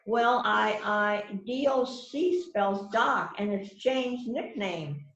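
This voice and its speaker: A woman's voice: medium pitch, takes it slowly, a bit bright, muffled recording, slight background noise. A woman playing an indignant, gossipy murder suspect in an amateur mystery reading, with theatrical exclamations. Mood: shocked. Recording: echoey online call.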